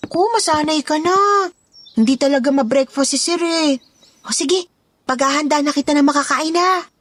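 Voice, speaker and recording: A chatty Filipina housemaid acted by a woman in an audio story drama. She speaks high with a Filipino accent, confiding like a loyal, plucky gossip. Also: normal speed, a bit bright, slight background noise. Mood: cheerful, caring.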